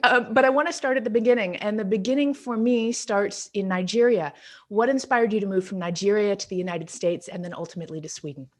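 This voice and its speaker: A woman's voice: medium pitch, fast talker. A woman hosting an interview, reading an enthusiastic introduction of her guest. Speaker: interviewer host. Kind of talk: video interview.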